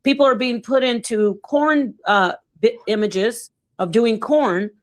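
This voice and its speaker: Hesitant, high-voiced woman panel host. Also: a little slow. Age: middle-aged. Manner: thoughtful, hesitant with restarts. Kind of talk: casual panel discussion.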